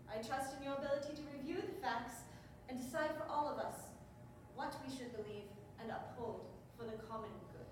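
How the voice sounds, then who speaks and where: Woman acting a high-voiced moralizing prosecutor, echoey. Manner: formal, moralizing, deliberate rhetorical questions. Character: prim, moralizing female prosecuting attorney. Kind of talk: live stage readers theater. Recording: distant mic, echoey room, clear noise.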